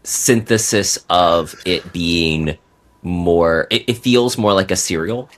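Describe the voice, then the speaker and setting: A man's voice: low-pitched, slow. A man giving considered, articulate opinions in a casual podcast discussion.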